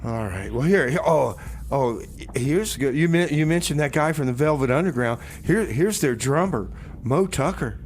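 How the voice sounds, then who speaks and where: A man's voice: on the high side, animated, moderate pace, a little hiss or hum. A man hosting a community radio music show in a casual, laid-back, skeptical manner with comic banter.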